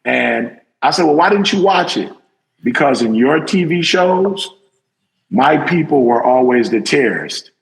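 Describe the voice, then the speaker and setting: A man hosting a panel talk, retelling conversations in a lively, animated way. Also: medium pitch, unhurried, creaky, poor audio. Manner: animated storytelling, acts out quotes. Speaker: podcast host. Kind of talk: casual panel chat.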